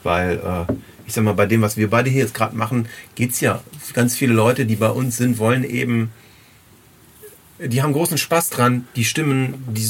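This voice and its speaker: A man's voice: medium pitch, moderate pace. A man interviewing a guest in casual, unscripted conversation, stressing points by repeating words. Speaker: podcast interviewer, runs a voice casting agency. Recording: clear background noise.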